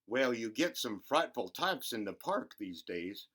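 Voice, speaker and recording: A man's voice: medium pitch, very animated, unhurried, a bit bright. A man voicing a prim, snobbish mother from a picture book, haughty and fretful. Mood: fretful, disdainful.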